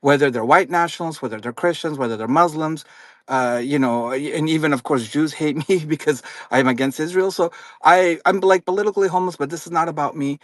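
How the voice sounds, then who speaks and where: A man's voice: on the high side, no room sound, studio quality. A man originally from Afghanistan calling in to a live audio chat, speaking earnestly and politely with some hesitation. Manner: earnest, polite, a little halting. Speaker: caller.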